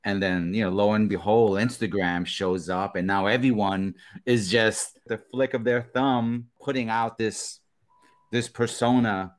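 A man's voice: on the low side, fairly even intonation, unhurried. A middle-aged man hosting a thoughtful, casual interview from a room at home over a video call. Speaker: show host. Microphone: video call mic.

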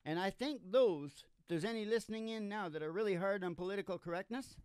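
An older man chats as a folksy comic host. His voice is soft-edged and mellow, sitting a little high. He rambles jokingly into a stand mic, with a dry, close sound. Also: quick pace, clean tone, no echo. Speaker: podcast host and musician. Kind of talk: casual comic banter. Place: indoor small curtained stage studio. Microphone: stand microphone.

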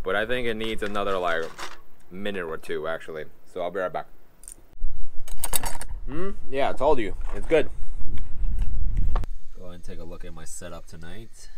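Young man vlogging with deadpan humor. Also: low-pitched, rough recording. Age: young adult. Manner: deadpan joking, mock-grumbling. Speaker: camping vlogger. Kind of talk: casual camping vlog chat to camera. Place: outdoors at campsite and trail, also inside parked van. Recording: outdoor and in-car ambient noise.

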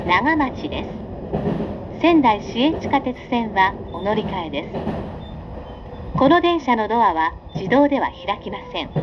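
A woman reading scripted onboard train announcements in a polite, formal, carefully enunciated way. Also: a little high. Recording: dull lo-fi recording with train noise. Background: train ambience.

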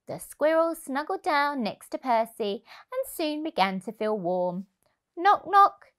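Slow, expressive woman reading a storybook. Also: high voice. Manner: expressive, deliberate. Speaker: childminder reading to children. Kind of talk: storybook narration for young children. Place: indoor room, on a sofa. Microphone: lapel mic.

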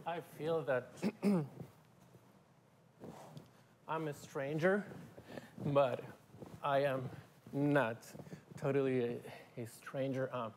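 A middle-aged man from Argentina, a guest musician, talking in a halting, casual way with fillers and restarts in a TV studio on a lapel mic. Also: medium pitch, steady tone, darkish tone, a little hiss or hum. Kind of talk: casual interview talk. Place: TV studio set.

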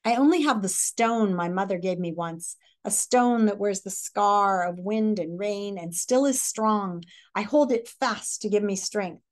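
A middle-aged American woman gives a picture book read-aloud at an everyday mid-range pitch. Her delivery is expressive and instructive, moving between the text and questions addressed to young listeners. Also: a little slow, few pauses. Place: living room, indoors.

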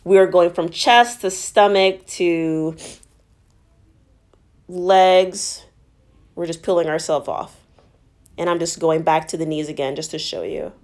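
A woman's voice: on the low side, steady tone, takes it slowly, long pauses, a little mellow. A woman narrating a workout as a fitness instructor, explaining each move in an encouraging, instructional way.

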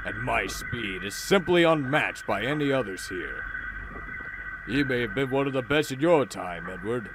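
A man's voice: medium pitch, animated, normal speed, background noise, natural voice. A man voicing a proud, boastful big male steam engine in a storybook tale, haughty and scoffing.

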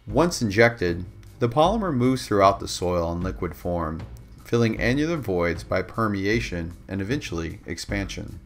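A man with a very low voice reads a corporate voice-over in a steady, measured way. Guitar music plays clearly beneath him. His delivery is even and unhurried. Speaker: narrator.

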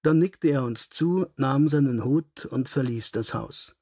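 A man's voice: medium pitch, a little slow, mellow, slightly rough, dry recording, clean studio sound. A man reading scripted narration of a detective story as an audiobook narrator.

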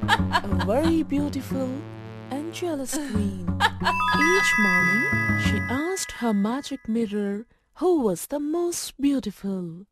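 Female narrator for children's bedtime tales, higher register, unhurried phrasing, prominent music underneath. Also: very animated. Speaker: storyteller. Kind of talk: scripted children's fairytale narration. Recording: loud music and effects over voice.

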